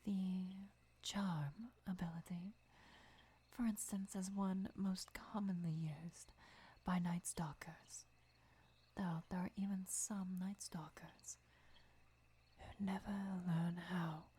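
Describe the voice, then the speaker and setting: A woman playing a gentle, devoted vampire lover who teaches patiently in a breathy, measured whisper for an ASMR roleplay. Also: fairly low pitch, steady tone, exaggerated voice. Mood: calm, instructive. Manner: measured, deliberate, soft-spoken explaining.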